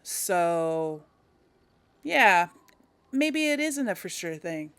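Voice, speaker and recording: A woman's voice: lowish voice, sing-song, drawn-out words, fairly bright tone, smooth, no echo. Chatty woman narrating her video game playthrough. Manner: chatty, thinking aloud, talks directly to viewers. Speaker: host of a video game role-play series. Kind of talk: casual let's play commentary.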